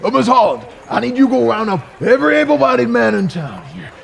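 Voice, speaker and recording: An Old West sheriff with a high, creaky voice and a drawl. He blusters and hesitates in a folksy way, swinging widely in pitch as he makes his speeches for an audio drama. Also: sing-song, quick pace, heavily acted. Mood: rousing. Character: blustering, hesitant small-town western sheriff rallying a posse. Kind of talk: western audio drama acting.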